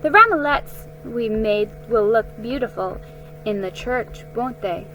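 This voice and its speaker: A woman's voice: a little high, expressive, a little slow, fairly bright tone, soft background music, low-quality recording. A woman voicing an eager, sweet young girl in a children's storybook, with an earnest, wide-eyed manner. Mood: happy, hopeful.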